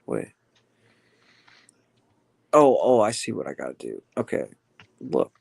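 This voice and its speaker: A man hosting a casual livestream chat, rambling and halting with many fillers. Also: lowish voice, very animated, vocal fry, breathy edge. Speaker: livestream host.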